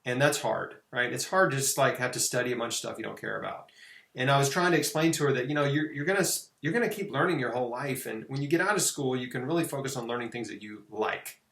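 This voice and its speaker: A man's voice: medium pitch, quick pace, gravelly, fairly bright tone. A middle-aged man chatting informally and anecdotally as an interview host. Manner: informal, anecdotal, punctuated with "you know". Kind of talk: casual conversational interview. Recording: roomy, echoing sound.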